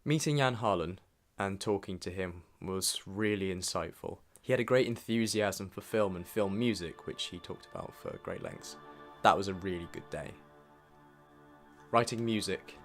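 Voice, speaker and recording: A man reading a scripted, reflective year-in-review narration in a measured way as a vlog narrator. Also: low voice, faint music behind.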